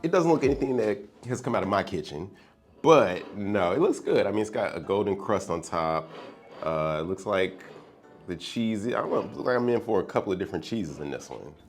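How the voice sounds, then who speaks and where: A man's voice: fairly low pitch, animated, fairly quick, faint music behind. A man from Chicago Heights, Illinois, a private chef, talking playfully and animatedly to the camera. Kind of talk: casual talk to camera.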